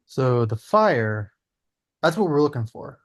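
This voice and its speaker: A man's voice: medium pitch, big pitch swings, slow pace. A man voicing an earnest, rambling man who pushes his friend not to give up, at a table read.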